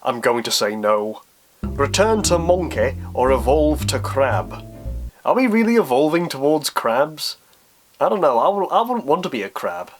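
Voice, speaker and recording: A man with a mid-pitched voice chats informally as a video creator taking questions. He thinks aloud and speaks in a self-deprecating, conversational way. Music plays clearly behind him. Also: very animated, normal speed. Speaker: YouTube creator answering viewer questions. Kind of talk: casual Q&A vlog talk.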